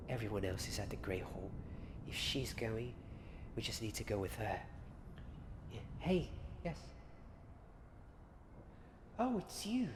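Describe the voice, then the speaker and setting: Woman playing a slow, whispering wizard student. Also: very deep voice. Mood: hushed excitement. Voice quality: soft, breathy, often whispered. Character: eager Hogwarts student hunting for a secret ghost party. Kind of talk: whispered ASMR roleplay. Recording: noisy ambience.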